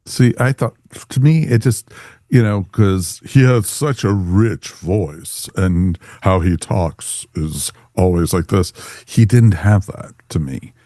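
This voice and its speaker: A man's voice: low voice, slow pace, warm tone, no echo. A man hosting a casual review discussion, conversational and opinionated. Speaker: podcast host.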